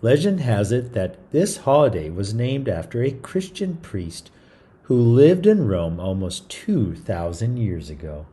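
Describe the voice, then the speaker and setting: A man's voice: on the low side, sing-song, measured pace, dark tone. A man hosting a story time, reading a picture book aloud in an informal, chatty way with small stumbles and asides. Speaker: read-aloud storyteller, show host.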